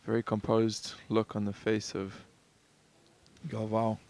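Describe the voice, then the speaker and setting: A man giving calm, measured live match commentary. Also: fairly low pitch.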